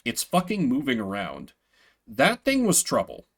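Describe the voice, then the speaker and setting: A man's voice: medium pitch, wildly swooping pitch, a little slow, vocal fry, a bit bright. A man doing live game commentary in a playful, sweary way, reading bits of text aloud and chatting with viewers. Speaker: game streamer. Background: video game music.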